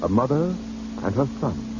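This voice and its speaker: A man's voice: medium pitch, wildly swooping pitch, normal speed. Dramatic old-time radio narrator, man, muffled. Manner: theatrical, emphatic. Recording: muffled old radio recording, clear noise.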